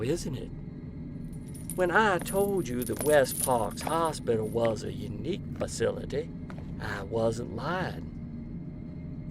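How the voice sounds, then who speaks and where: An actor playing a sinister, smug hospital doctor in a horror audio drama, talking with condescending, mock-patient menace. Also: male voice, mid-range voice, noisy background.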